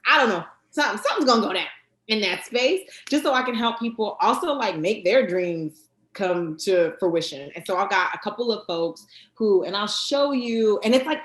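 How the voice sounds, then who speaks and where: A woman guest in a casual interview, talking with lively energy, many fillers and quick restarts. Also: mid-range voice, echoey room. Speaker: guest, card deck creator.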